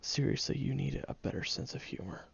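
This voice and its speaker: A man's voice: medium pitch, a little fast, vocal fry, husky, full character voice. A man voicing a prickly young man who secretly cares, speaking straight to the listener in an audio roleplay, grumbling and hesitant but tender underneath. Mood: teasing. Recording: dull lo-fi recording.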